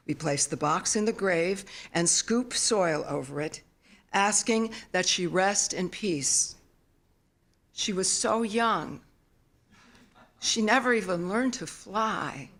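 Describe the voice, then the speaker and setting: A mature woman reads her own literary prose to a live audience. Her voice sits a little low, and she takes her time with a very slow, measured delivery. The storytelling is solemn yet expressive. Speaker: author reading own work. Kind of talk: literary memoir reading at live event. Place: theater stage, live reading.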